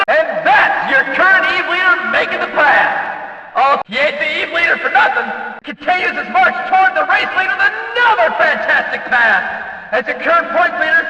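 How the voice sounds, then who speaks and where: A man voicing an excitable race announcer in a video game, calling the action with emphatic, dramatic, shouted commentary in an echoey, distant recording. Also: very high voice, heavily acted. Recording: echoey hall, distant from the mic.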